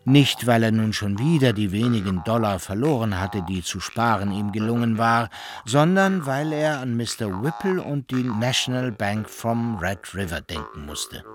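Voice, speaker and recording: A man's voice: fairly low pitch, lively intonation, moderate pace, faint music behind. A man reading scripted literary narration as the storyteller of a German radio drama.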